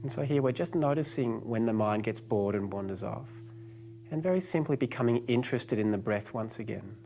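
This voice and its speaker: A man narrating a guided meditation as the guide, giving gentle, unhurried instructions with pauses. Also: mid-range voice, creaky, faint music behind.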